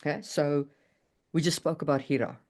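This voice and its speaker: A woman's voice: deep voice, steady tone, moderate pace, darkish tone. A woman co-hosting a casual podcast chat about writing, emphatic and given to repeating words for stress. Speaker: podcast co-host and writing critic.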